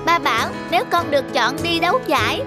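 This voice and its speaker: A very high-voiced cartoon schoolboy. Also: female voice, lively intonation, fast talker, music in the background. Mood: excited. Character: eager schoolboy athlete attached to his parents. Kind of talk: animated moral-tale voice acting.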